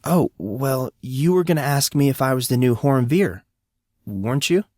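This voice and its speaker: A man's voice: mid-range voice, animated, normal speed, dark tone, no echo, clean studio sound, pitched above the performer's own voice, close to an everyday voice. A man voicing an awkward, earnest fourteen-year-old schoolboy with an eager manner in an audiobook drama. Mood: embarrassed.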